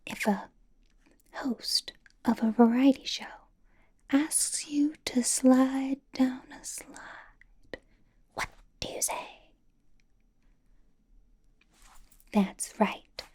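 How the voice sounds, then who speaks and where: Breathy, whispering big-sister K-pop idol played by a woman. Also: high voice, slow, long pauses, darkish tone, exaggerated voice. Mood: cheerful, supportive. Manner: encouraging, rambling, playfully reassuring. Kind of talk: ASMR roleplay with personal attention.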